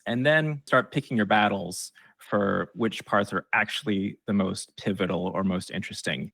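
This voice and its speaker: A man's voice: lowish voice, a bit bright, no room sound. A man giving conversational, explanatory advice as an expert guest in an interview. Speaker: guest expert on game trailers.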